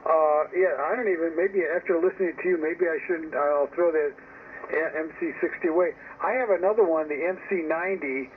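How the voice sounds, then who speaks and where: A man's voice: on the high side, moderate pace. A man in a casual, opinionated, rambling ham radio chat, heard over a narrowband radio link. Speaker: amateur radio operator. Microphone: received over ham radio. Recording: tinny narrowband radio transmission with static noise.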